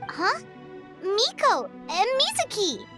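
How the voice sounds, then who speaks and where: A tiny, naive fairy companion for a video game, extremely high and squeaky. The delivery swings wildly in pitch, bright and exclamatory, over soft background music. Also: female voice, slow pace, full character voice. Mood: earnest. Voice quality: squeaky, childlike. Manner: expressive, exclamatory, refers to self in third person. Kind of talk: video game character acting.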